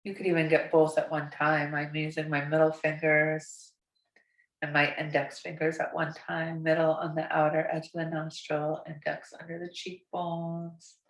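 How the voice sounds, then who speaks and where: A hoarse woman in her forties with a raspy voice from a cold, gently guiding a yoga class with soft, soothing instructions. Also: sits low, completely flat delivery. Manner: slow, soothing, softly encouraging. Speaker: yoga teacher. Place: bright home studio room with large windows. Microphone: camera across the room. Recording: echoey room, voice from far off.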